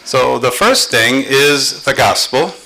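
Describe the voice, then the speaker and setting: Slow-speaking man with an American accent. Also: mid-range voice, animated, gravelly, pushed and bright, a little hiss or hum, low-quality recording. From born in Germany, raised in the United States. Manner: speaks in short phrases, pausing for the interpreter. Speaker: speaker at a creation conference. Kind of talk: lecture with consecutive interpretation. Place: conference hall.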